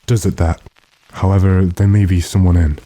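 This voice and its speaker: A man playing a weary, decisive gentleman master with a dry, commanding manner in an old-time radio drama. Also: low voice, flat delivery, moderate pace, background noise, lightly acted.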